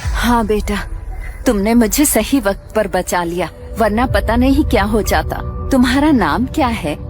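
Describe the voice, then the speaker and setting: Lady doing a sweet old granny matchmaker for an audio drama, affectionate and pushy, soft music behind. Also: medium pitch, animated, a little slow, lightly acted. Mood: grateful, warm. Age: older. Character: kindly, determined old grandmother, eager matchmaker. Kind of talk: Hindi audio drama acting.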